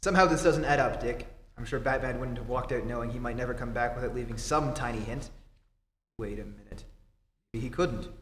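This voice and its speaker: Guy doing a take-charge radio serial hero, medium pitch, reassuring, roomy hall with faint hiss. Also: a bit bright, close to an everyday voice. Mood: investigating. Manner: brisk, authoritative, reassuring. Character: steady, take-charge adult male reporter, secret hero. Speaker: amateur theatre actor. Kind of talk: old-time radio acting. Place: theatre stage, live performance. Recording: echoey hall, faint noise.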